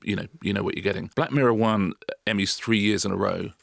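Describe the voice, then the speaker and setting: A man's voice: on the low side, fairly even intonation, fast, gravelly, no echo, clean studio sound. A middle-aged man, co-hosting a podcast in casual, enthusiastic chat that rambles with frequent restarts. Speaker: podcast co-host.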